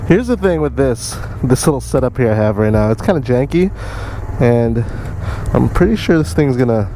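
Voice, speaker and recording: A man telling a casual story in an informal, conversational vlog style, full of slangy emphasis. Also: medium pitch. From Canada. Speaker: motorcycle vlogger telling a story. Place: riding a motorcycle outdoors. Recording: vehicle and outdoor noise behind voice. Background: faint vehicle and road noise.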